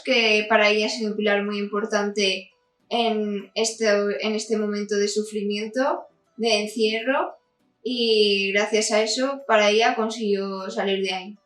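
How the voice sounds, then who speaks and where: A woman's voice: mid-range voice, a bit bright. Woman talking books on a channel, echoey, a bit far the mic. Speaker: book review channel co-host. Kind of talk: informal book review to camera. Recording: echoey room, distant mic, music behind.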